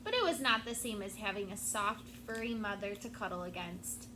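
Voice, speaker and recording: A woman teacher reading a picture book aloud to her class, expressive and stopping to prompt the children. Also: medium pitch, normal speed, noisy background.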